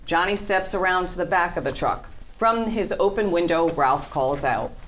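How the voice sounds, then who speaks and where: A woman's voice: fairly low pitch, animated, moderate pace, fairly bright tone, slight background noise. A woman narrating scene headings and stage directions from a script at a table read.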